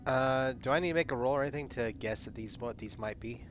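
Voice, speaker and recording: Male player, mid-pitched, easygoing, faint music behind. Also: quick pace.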